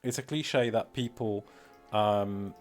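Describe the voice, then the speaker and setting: A Polish-accented man talks slowly on a live stream in a low, unhurried voice. He rambles and fills pauses with ums, poking fun at himself. Quiet music plays softly behind him. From Poland. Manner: rambling, self-deprecating, many fillers. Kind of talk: casual live-stream commentary.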